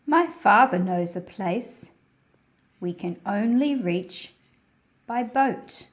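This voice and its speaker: Slow-paced woman reading to children. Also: medium pitch, lively intonation. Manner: deliberate, simple phrasing addressed to children. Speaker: children's read-aloud host. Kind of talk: slow picture-book read-aloud with friendly asides to young viewers.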